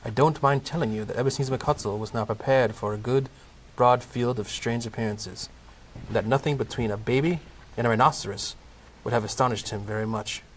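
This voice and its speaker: Medium-pitched male narrator, noisy recording. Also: fairly quick. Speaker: narrator of a comedy audio play. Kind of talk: scripted storybook narration. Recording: clearly noisy amateur recording.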